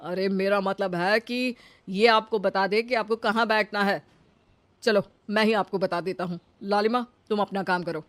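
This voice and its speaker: A woman's voice: mid-range voice, steady tone, moderate pace, fairly bright tone, lightly acted. A woman voicing an exasperated but polite male office boss in a comic cartoon story, instructing the people around him.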